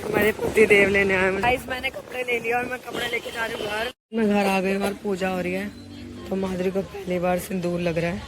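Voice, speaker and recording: A woman with a hoarse sore throat, chatting casually and teasing her relatives as she vlogs a family wedding from a handheld phone. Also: medium pitch, steady tone, brisk, over music. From Uttar Pradesh, India. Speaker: vlogger host.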